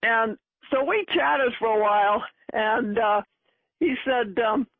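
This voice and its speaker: A woman's voice: a little high, takes it slowly, husky, no echo. A woman in her eighties from Boston, chatty, telling a story from her past and laughing at herself in an oral history recording. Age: 80s. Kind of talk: storytelling recollection. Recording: tinny phone-like archive recording.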